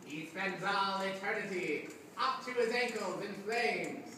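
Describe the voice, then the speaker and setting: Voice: medium pitch, lightly acted. A puppeteer as a chatty fiend, echoey and distant. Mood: explanatory. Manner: hesitant, drawn-out delivery. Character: gloating, chatty fiend from hell. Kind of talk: live puppet show dialogue. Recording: echoey room, noisy, distant mic.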